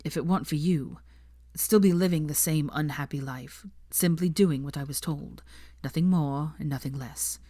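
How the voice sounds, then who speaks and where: A nervous young man, voiced by a woman reading a story, his words soft and halting. He speaks quietly and slowly with a guarded, hesitant manner. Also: sits low, dry recording, clean studio sound.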